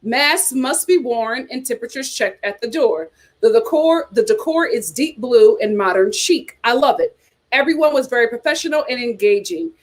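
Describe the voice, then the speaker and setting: A woman's voice: on the high side, lively intonation, moderate pace. An American woman hosting a casual chat show, reading aloud and commenting with playful, teasing asides. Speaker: host of a reality TV chat show.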